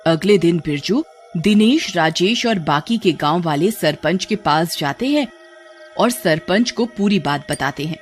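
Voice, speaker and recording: A narrator telling a cartoon horror story in steady, scripted storytelling. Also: medium pitch, a little slow, soft background music.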